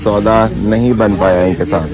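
A man's voice: lowish voice, fairly even intonation, normal speed. A young man chatting casually to viewers as a travel vlog host, speaking into a handheld phone camera. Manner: chatty, addresses viewers directly. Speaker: vlogger host. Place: busy night bazaar outdoors. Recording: crowd noise of a busy street market.

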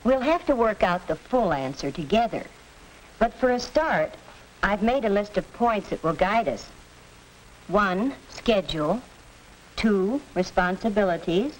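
Woman acting as patient american housewife mom, earnest and measured, old 40s film with hiss. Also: medium pitch, animated, lightly acted. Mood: earnest, organizing. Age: middle-aged. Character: earnest, tired housewife and mother, middle-aged, patient organizer. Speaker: actor in an educational film. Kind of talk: 1940s educational film acting. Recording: hissy, noisy 1940s film soundtrack.

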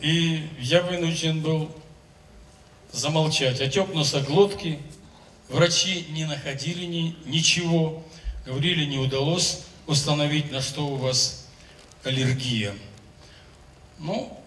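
A man in his seventies, a singer, telling his story on a concert stage into a handheld microphone, in a measured, declamatory way. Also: a little high, steady tone, a bit bright, slight background noise. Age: 70s. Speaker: singer giving a testimonial on stage. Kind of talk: stage speech to an audience. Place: large concert hall stage. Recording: roomy hall sound from a distance.

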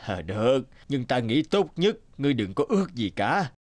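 A man playing a calm, solemn traveller who grants wishes in a children's fairy-tale cartoon, speaking gravely. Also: medium pitch, moderate pace, no echo.

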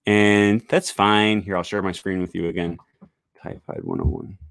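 A man's voice: sits low, level tone, moderate pace, creaky, fairly bright tone, no room sound, clean studio sound. A young man giving a loose, rambling live-stream talk on language learning, full of "um" and "uh", into a desk microphone in a small home room. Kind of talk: casual live-stream talk with screen sharing. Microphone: large studio microphone on a desk stand.

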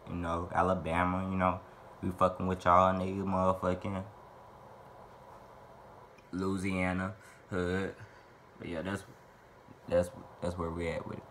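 A young man from Arkansas talks to his camera in a small bedroom, loose, rambling and profane. His voice sits very low yet comes across bright and forceful. A faint hiss of background noise sits under the close webcam sound. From Little Rock, Arkansas. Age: 20s. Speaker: vlogger answering fan questions. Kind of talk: casual Q&A monologue to camera.

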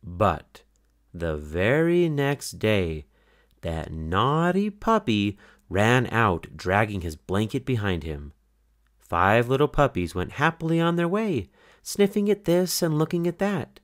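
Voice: mid-range voice, very animated, takes it slowly. A narrator reading a children's picture book aloud in a scripted storytelling style.

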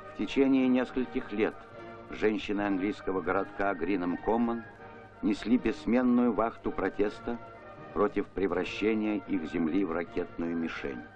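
Male documentary narrator, low register, scripted delivery, muffled archive sound over music. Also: moderate pace, a little mellow. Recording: dull vintage film soundtrack with music.

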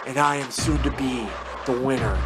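A man delivering a scripted trash-talk wrestling promo, swinging between loud shouted taunts and low muttering, recorded close on a webcam indoors. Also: fairly even intonation, normal speed. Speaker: hobby wrestler in character. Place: indoor room with window blinds. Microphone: webcam close to face. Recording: music clearly playing behind.

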